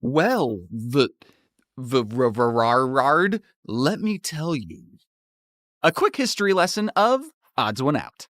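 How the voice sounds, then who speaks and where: Man, scripted comedic narration, very lively intonation, playful sarcasm, dry close sound. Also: mid-range voice, takes it slowly, dry recording. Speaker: YouTube animator narrating own story.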